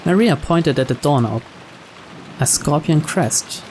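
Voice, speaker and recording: A man reading scripted visual novel narration aloud in a measured storyteller's manner. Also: fairly high pitch, animated, a little mellow, a little hiss or hum, low-quality recording.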